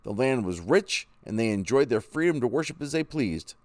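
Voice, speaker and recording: A man playing a determined pioneer father, eager and firm. The reader's voice rises above his own pitch and bounds through lively intonation. The sound is dry and close. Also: normal speed, no room sound, lightly acted. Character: determined young pioneer father, set on heading west. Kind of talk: storybook reading.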